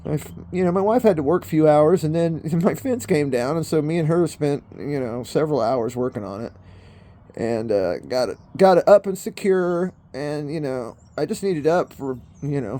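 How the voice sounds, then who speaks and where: A man's voice: fairly high pitch, mellow. A man in his fifties rambling in a casual monologue, swearing often and calling the viewers bro and people. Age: 50s. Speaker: vlogger. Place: in a car.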